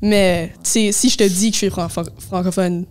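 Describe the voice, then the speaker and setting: A woman's voice: medium pitch, fairly even intonation, normal speed, darkish tone. Young acadian chiac girl from moncton, casual podcast chat, lots of "comme" and english bits. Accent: Chiac Acadian French. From Moncton, New Brunswick. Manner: mixes English words into French, many fillers. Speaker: podcast co-host.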